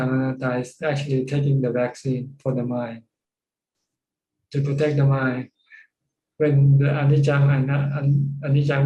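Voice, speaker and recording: A male Buddhist teacher with a Thai accent speaks in a very mellow, even voice with little rise and fall. He teaches informally, repeating and restarting phrases. The call has a strongly echoing, roomy sound. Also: mid-range voice. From Thailand. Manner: repetitive, halting phrasing. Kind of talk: informal Dhamma teaching with questions. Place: Zoom call.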